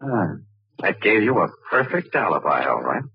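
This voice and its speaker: A man's voice: fairly low pitch, very animated, moderate pace, slightly rough, dry recording, heavily acted. An American man acting a shrewd, wry newspaper photographer turned sleuth in a 1940s radio crime drama. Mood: probing. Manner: hard-boiled, wry, clipped. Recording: restored old radio, muffled and dry.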